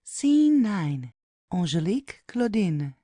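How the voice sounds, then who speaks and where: Casting: female narrator for stage directions, mellow tone, dry close recording. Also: mid-range voice, very animated, slow, no echo. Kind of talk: scripted reading of stage directions.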